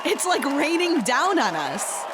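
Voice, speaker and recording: Woman acting playful delighted gal in audio drama, drawn-out words, noisy crowd recording. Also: sits high, sing-song.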